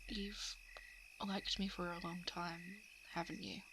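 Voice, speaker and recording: A woman playing a shapeshifting monster who poses as a lovelorn crush in an ASMR roleplay, speaking softly and hesitantly, often slipping into whispers. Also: on the low side, little pitch movement, slow, noisy background. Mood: tender coaxing. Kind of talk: ASMR roleplay, intimate confession. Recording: night insect and frog ambience. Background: crickets, frogs and insects.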